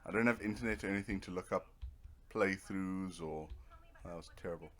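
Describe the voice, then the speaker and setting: Low-voiced man doing casual game commentary. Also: fairly even intonation, fairly quick, a bit bright. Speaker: video game let's player. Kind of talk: casual gameplay commentary, rambling.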